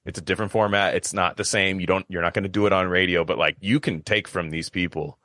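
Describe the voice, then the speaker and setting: A man with a very low voice and a bright, forceful edge talks casually on a podcast. He is emphatic and rambling, often repeating himself, and the sound is close and dry. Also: fairly even intonation, fast talker, dry recording. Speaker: podcaster, guest.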